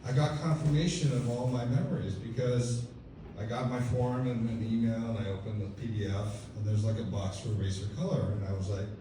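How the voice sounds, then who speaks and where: A man's voice: mid-range voice, fairly even intonation, fairly quick, background noise. Man in his fifties doing club stand-up. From Texas, USA. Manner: dry, self-deprecating storytelling with conversational fillers. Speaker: stand-up comedian. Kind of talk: stand-up comedy set. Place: comedy club stage. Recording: echoey club audio from a distant mic. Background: audience laughter.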